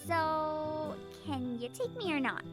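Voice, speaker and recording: A woman's voice: high voice, expressive, slow. A woman playing a cheerful, teasing teenage little sister in a visual novel game, with music behind her. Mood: hesitant. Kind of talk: scripted visual novel voice acting.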